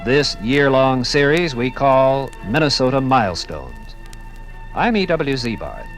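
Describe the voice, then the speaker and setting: A man narrating a 1950s radio documentary from a script in formal, dramatic, measured oratory. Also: mid-range voice, sing-song. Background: music.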